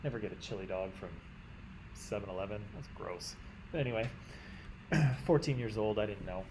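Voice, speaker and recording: A Canadian man teaching a class in a conversational way, often checking in with "right?" and clearing his throat. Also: lowish voice, lively intonation, moderate pace, background noise. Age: adult. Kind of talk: classroom lecture.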